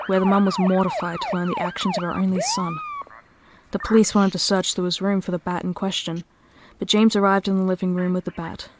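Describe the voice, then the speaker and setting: A narrator reads a scripted story in a mid-range voice with plenty of melodic movement. Faint noise and sirens sit behind the reading. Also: medium pitch.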